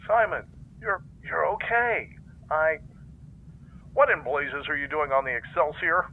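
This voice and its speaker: A man playing a stern middle-aged fleet commodore and father, gruff and commanding, speaking over faint noise. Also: a little high, a little slow, narrow, tinny audio. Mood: alarmed.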